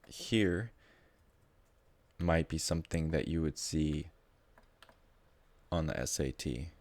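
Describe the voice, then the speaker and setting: A man's voice: extremely low pitch, expressive, slow, a little mellow. A man tutoring math live in a casual, informal way, thinking aloud as he explains.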